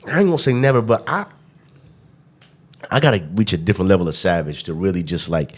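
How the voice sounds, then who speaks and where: Young guy on a podcast, lowish voice, laid back, rambly stories, keeps saying you know what i mean. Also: very animated, quick pace, husky. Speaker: podcast host. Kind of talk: casual chat.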